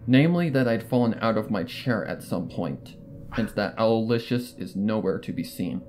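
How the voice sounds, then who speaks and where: A man's voice: fairly low pitch, lively intonation, moderate pace, a little mellow, a little hiss or hum. A man reading a scripted horror story as a dramatic first-person narrator. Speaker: fan fiction narrator.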